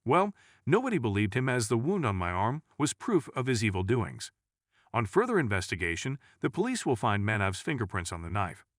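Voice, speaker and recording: A man's voice: mid-range voice, big pitch swings, fairly quick, dry recording, studio quality. A man narrating a scripted first-person crime story in a dramatic storytelling manner. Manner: dramatic storytelling, acts out dialogue.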